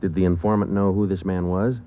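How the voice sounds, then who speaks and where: An actor playing a terse male police sergeant who narrates the case in a clipped, deadpan way, in 1940s radio acting. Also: low voice, brisk, slight background noise, lightly acted. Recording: tinny old radio broadcast with music.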